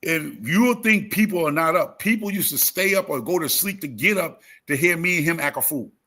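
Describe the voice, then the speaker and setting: A man's voice: a little high, lively intonation, fairly quick, pushed and bright. A talkative man in his fifties chatting casually as a livestream host, emphatic and repeating phrases as he tells motivational stories. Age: 50s. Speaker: YouTube gardening creator and live host.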